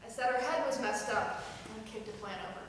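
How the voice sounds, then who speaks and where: A woman's voice: fairly high pitch, quick pace, natural voice. A woman reading the part of an awkward, glib young first-job worker in a play's table reading, casual, hesitant and wry. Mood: sheepish. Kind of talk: table reading of a stage play. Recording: echoey hall from far off, clear noise.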